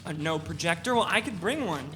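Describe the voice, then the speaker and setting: A man reading the part of a pushy, self-promoting artist who gives a pretentious sales pitch. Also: lively intonation, fairly quick, sharp tone. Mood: pushy, eager. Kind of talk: dramatic reading of a novel. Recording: echoey room with faint noise.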